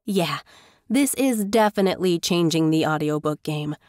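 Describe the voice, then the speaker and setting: A woman's voice: fairly low pitch, lively intonation, normal speed, darkish tone, no echo, studio quality. A woman narrating a scripted tutorial in a conversational, enthusiastic way as its host. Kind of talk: scripted tutorial narration over screen recording.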